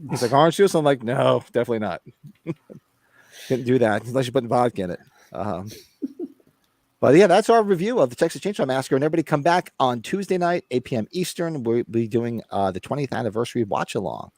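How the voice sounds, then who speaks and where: A man's voice: mid-range voice, animated, fast talker, vocal fry, a little mellow, dry recording, clean studio sound. An American man hosting a casual chat, rambling and blunt with some swearing, his voice recovering after being lost. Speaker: podcast host. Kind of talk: casual chat about horror movies.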